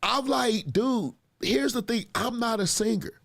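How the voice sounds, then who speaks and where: A man's voice: very high-pitched, a little slow, vocal fry. A man who is a gospel musician and producer, hosting casual reaction commentary, chatty and repeating himself for emphasis. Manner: animated, chatty, laughs often; talks straight to viewers, repeats himself for emphasis. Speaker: host reacting to clips.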